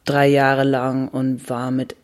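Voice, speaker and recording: A man's voice: medium pitch, monotone, slow. Hesitant man speaking German. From Netherlands. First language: Dutch. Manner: hesitant, many fillers. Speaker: guest, comic artist. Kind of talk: interview answers.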